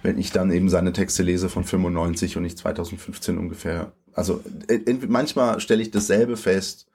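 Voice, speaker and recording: A man's voice: sits low, fairly even intonation, normal speed, a little mellow, breathy edge. A man in his late twenties from Germany, a poet talking things over in an interview, thoughtful and searching, with frequent fillers and restarts. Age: late 20s.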